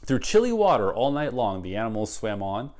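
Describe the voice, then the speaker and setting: A middle-aged man reading a picture book aloud to children in an expressive, dramatic way. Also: on the low side, fairly quick. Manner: animated, dramatic. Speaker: read-aloud guest reader. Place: child's bedroom.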